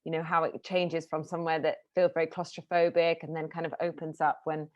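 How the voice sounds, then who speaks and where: A woman's voice: sits low, level tone, a little fast, lo-fi sound. A woman interviewing a filmmaker over a video call, asking long, winding questions with fillers.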